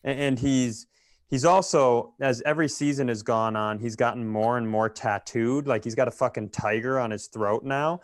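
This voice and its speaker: A man's voice: mid-range voice, fairly even intonation, moderate pace, no echo, clean studio sound. A man ranting casually and comedically as a podcast host, exasperated and swearing freely. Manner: exasperated, profane.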